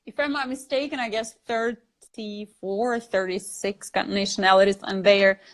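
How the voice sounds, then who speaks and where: A woman from Dagestan, whose first language is Dargin, chats casually over a video call. She is an English teacher, and her talk is full of ums, restarts and small laughs, at a middle pitch. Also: fairly even intonation, normal speed. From Dagestan, Russia. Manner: chatty, hesitant, frequent fillers and laughs.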